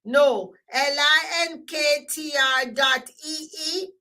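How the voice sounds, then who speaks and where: A woman with a Jamaican accent hosting a live stream, talking loudly and emphatically and repeating herself as she signs off. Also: high-pitched, takes it slowly. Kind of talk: casual live stream sign-off.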